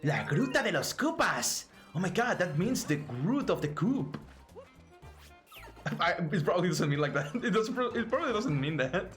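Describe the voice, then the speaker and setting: A lively, joking man with a Mexican Spanish accent commentates a video game for his stream, his pitch sitting a little high and swinging widely as he stammers and restarts. He speaks English as a second language, with faint music running underneath. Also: normal speed. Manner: playful, jokey, frequent laughter and stammering restarts. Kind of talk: casual live-stream commentary.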